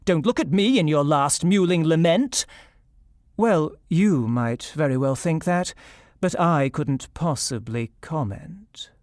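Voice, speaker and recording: A man's voice: a little high, lively intonation, slow pace, darkish tone, dry recording, clean studio sound. A male actor playing a smug, callous government spokesman, pompously reciting satirical verse in a theatrical, declamatory style. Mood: ominous, grand.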